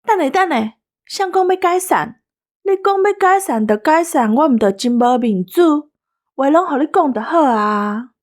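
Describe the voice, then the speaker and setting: A woman's voice: sits high, lively intonation, normal speed. A woman with a Taiwanese accent co-hosting a show, bantering with a partner and addressing listeners. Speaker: co-host.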